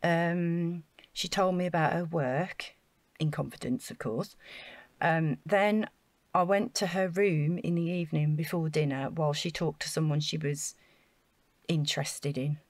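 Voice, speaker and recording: An earnest, naive female housing officer in an audio drama. Her voice sits fairly low and sounds dry and close. She is chatty and flustered, explaining more than she needs to. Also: fairly even intonation, unhurried, no room sound.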